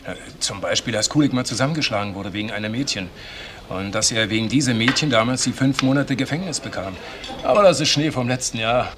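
A man acting as a police investigator with a mid-pitched voice, offhand and dismissive. The crime radio drama recording has a faint noise to it. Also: quick pace, natural voice.

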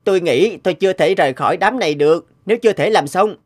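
A humble, high-voiced teenage boy inventor. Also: quick pace. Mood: grateful. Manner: polite, modest, sincere. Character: humble, earnest sixteen-year-old enslaved boy inventor. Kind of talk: cartoon dubbing.

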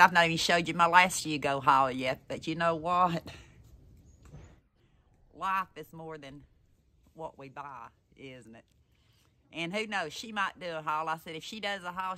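A woman's voice: sits low, pushed and bright. A woman in her sixties with a Southern American accent, chatting casually to camera in a folksy, rambling way. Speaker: vlogger. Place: in a parked car and outdoors in a yard. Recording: noisy outdoor and in-car recording.

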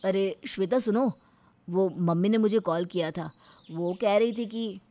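A woman's voice: medium pitch, measured pace, mellow, close to an everyday voice. A woman voicing a sensible young husband in a cartoon story, earnest and protesting. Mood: trying to explain.

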